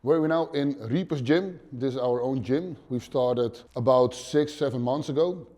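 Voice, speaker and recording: A man's voice: mid-range voice, warm tone. Blunt Dutch man in his thirties. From Netherlands. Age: 30s. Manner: plain, blunt, swears casually, short halting phrases. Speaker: interview guest, gym owner. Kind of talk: casual interview answers. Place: dim gym indoors.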